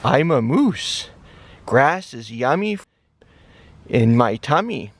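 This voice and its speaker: A man voicing a big friendly moose with a slow, simple manner in a children's animal story. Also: medium pitch, sing-song, exaggerated voice. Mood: gentle, content. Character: big friendly slow moose who loves eating grass.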